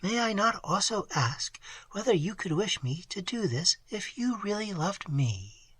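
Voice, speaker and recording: A gentle young woman, as a male reader puts her on, speaking in a hushed, breathy voice pitched well above his own. Her words come soft and trembling, recorded close and dry. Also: very animated, unhurried, dry recording, exaggerated voice. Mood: soothing.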